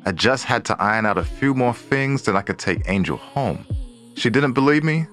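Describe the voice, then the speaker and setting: A man's voice: mid-range voice, animated, normal speed, a bit bright, soft background music, natural voice. A man playing a hardworking young newlywed husband in an audio drama, talking in a casual, slangy way. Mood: proud, reflective. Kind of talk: audio drama acting with first-person storytelling.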